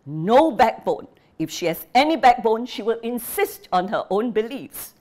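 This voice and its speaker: A woman voicing a bitter, furious old father who shouts and scolds his family. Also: mid-range voice, big pitch swings, unhurried, pitched above the performer's own voice. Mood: raging. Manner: shouting, scolding, jabbing repetition.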